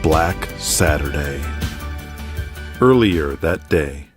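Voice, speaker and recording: A man's voice: a bass voice, expressive, extremely slow, loud music behind the voice. A man hosting and narrating a scripted audio drama, reading announcements and story in a presenter's delivery. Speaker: podcast host and narrator.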